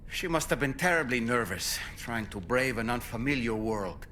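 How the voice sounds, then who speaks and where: A man playing an earnest, caring crew ally who speaks with expressive admiration in a video game cutscene. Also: medium pitch, moderate pace, a little hiss or hum. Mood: relieved praise. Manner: warmly praising, expressive.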